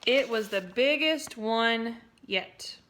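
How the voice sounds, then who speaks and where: Bright, expressive woman reading aloud. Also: a little high, slow pace, slight background noise. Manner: expressive, with playful asides to listeners. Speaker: teacher. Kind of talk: storybook read-aloud for children.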